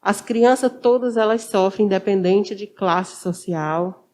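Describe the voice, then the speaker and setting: A woman's voice: mid-range voice, measured pace, mellow. A woman speaking as an interview guest, explaining didactically and repeating phrases for emphasis. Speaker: interview guest, social worker.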